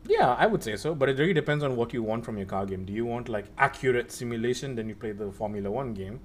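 A man's voice: medium pitch, lively intonation, quick pace. A young man hosting a casual chat about video games, talking in a rambling way with many fillers and restarts. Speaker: podcast host.

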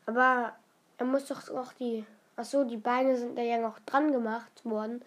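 A woman's voice: fairly high pitch, fairly even intonation, moderate pace, a little hiss or hum. A woman commenting casually on a video she is watching, asking questions and hesitating with fillers. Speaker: reaction commentator.

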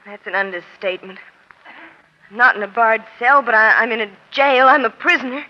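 A woman's voice: a little high, brisk, lightly acted. A woman playing a tense, guarded, jealous witness held in jail, in strained 1940s radio melodrama style. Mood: bitter. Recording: dull, lo-fi old radio recording.

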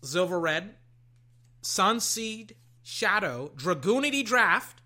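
Excitable, slightly hoarse young card opener. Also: slow pace. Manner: excitable, swings between loud hype and low muttering; swears casually, trails off and restarts. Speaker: card-opening vlogger. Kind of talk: casual commentary while opening card packs. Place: at a desk indoors.

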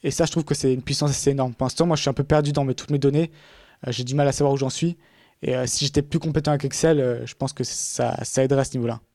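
A man's voice: mid-range voice, fairly even intonation, fast, darkish tone, no echo, studio quality. A man talking casually in a podcast conversation, rambling with many fillers and restarts.

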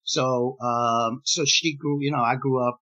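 Older man, sixties, native Spanish speaker, bright tense tone, meandering and full of fillers, dull lo-fi recording. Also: mid-range voice, fairly even intonation, a little slow. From Gibraltar. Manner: rambling, many fillers and restarts, laughs while telling stories. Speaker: playwright. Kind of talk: casual podcast interview. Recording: dull lo-fi sound.

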